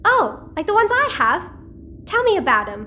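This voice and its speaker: A quirky teenage hacker girl, speaks in a high voice that swoops with cheeky energy. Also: big pitch swings, normal speed, bright, forceful tone, a little hiss or hum, exaggerated voice. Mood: chatty. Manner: cheeky, chatty, slangy. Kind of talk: audio-fiction drama dialogue.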